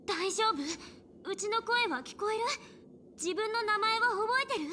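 A woman voicing a perky, easily flustered, kind-hearted teenage girl in an anime game, girlish and expressive. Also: extremely high pitch, unhurried, slight background noise, exaggerated voice. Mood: concerned.

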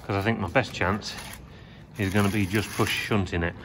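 A man's voice: lowish voice, steady tone, normal speed, fairly bright tone, slight background noise. A middle-aged English man vlogging, talking chattily and matter-of-factly through each step while holding the camera at arm's length. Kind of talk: casual vlog narration. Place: large empty derelict warehouse, indoors. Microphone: handheld camera at arm's length. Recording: long echo in a big empty warehouse.

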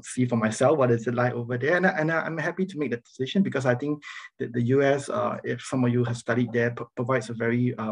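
Man, middle-aged, Singaporean accent, creaky and husky, halting academic talk, online presentation. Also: mid-range voice. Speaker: university professor, guest speaker. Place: online video meeting, speaking over slides. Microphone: video call microphone.

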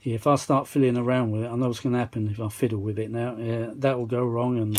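A British man talks off the cuff in a middling pitch, half muttering to himself. His manner is rambling and self-mocking, like a hobbyist narrating a repair. Light machine noise sits behind the voice in an indoor workshop. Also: flat delivery, fast talker, a little mellow. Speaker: vintage audio repair hobbyist and video maker. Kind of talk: casual off-the-cuff repair commentary.

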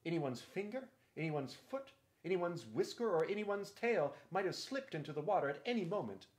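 A man's voice: sits high, big pitch swings, normal speed, pitched above the performer's own voice. A man voicing a valiant, courtly talking mouse knight in a storybook reading, eager and formal. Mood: earnest.

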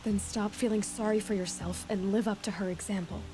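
A woman's voice: medium pitch, steady tone, a little fast, dark tone, faint music behind, close to an everyday voice. A young woman voicing a determined, blunt outlander huntress in a video game cutscene. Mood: firm, urgent. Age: young adult. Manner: blunt, stern, terse. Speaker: lead character voice actor.

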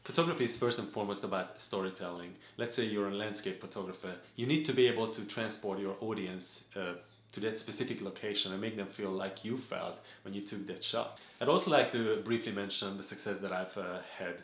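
Guy in his 30s, deep voice, talks to camera with lots of uhs, slightly echoey home office. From Hungary. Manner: earnest, measured, with frequent "uh" fillers. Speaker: photography vlogger. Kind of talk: talking-head channel introduction. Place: home office room with desk and gaming chair.

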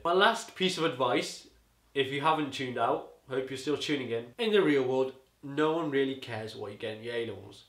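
An eighteen-year-old man giving rambling, casual advice to camera from a living-room sofa, his voice going a bit hoarse. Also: a little high, a bit bright, roomy sound. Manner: rambling, chatty, peppered with "you know". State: voice getting hoarse. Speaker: student vlogger. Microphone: camera on a tripod across the room.

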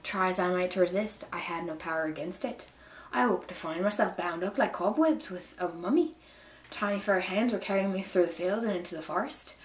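A woman's voice: on the low side, fairly quick, natural voice. A woman voicing a dreamy, wry storybook heroine recounting her adventure, in an amateur put-on Irish accent. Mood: wistful storytelling. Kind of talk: dramatic storybook read-aloud. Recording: roomy echo, some background noise.

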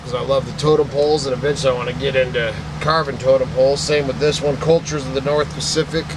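A man's voice: mid-range voice, noisy background. A man in his forties from Idaho, rambling and sentimental, showing thrift finds to a desk webcam in a cluttered indoor shop. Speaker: vlogger, antique picker. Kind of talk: casual chat to camera.

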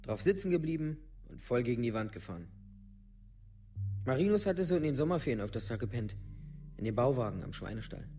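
Casting: rough village youth, slangy, fragmentary storyteller, medium pitch, radio drama. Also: male voice, big pitch swings, brisk, mellow, a little hiss or hum. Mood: matter-of-fact. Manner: colloquial, clipped storytelling in fragments. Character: rough teenage village lad, friend of the victim. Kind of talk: documentary radio play monologue.

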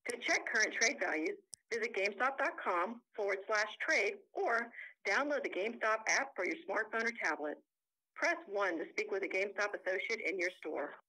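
Bright woman announcer over speakerphone. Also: mid-range voice, poor audio. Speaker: recorded phone greeting announcer. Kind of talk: scripted promotional announcement. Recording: automated phone message over speakerphone.

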